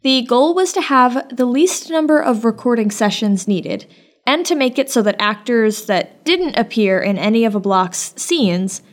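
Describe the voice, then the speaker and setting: A woman co-hosting a podcast in a conversational way, candid and self-deprecating with playful drama. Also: fairly high pitch. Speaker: podcast co-host and production organizer.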